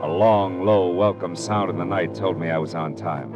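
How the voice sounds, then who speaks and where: Actor as a low-pitched, hardboiled American private eye. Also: male voice, normal speed, dull audio, natural voice. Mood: tense, hardboiled. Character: tough, impatient, wisecracking American male private detective. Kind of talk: 1940s radio acting, hardboiled narration. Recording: vintage radio drama recording with music.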